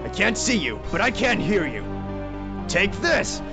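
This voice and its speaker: Combative, high-pitched defiant man acted. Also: sing-song, unhurried, creaky, over music, exaggerated voice. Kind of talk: audio drama acting.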